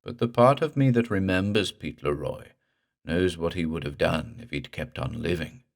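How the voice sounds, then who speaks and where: A dead man risen from the water speaks in a slow, gurgling, guttural drawl, as a storyteller puts him on. His voice sits very deep and menacing. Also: creaky.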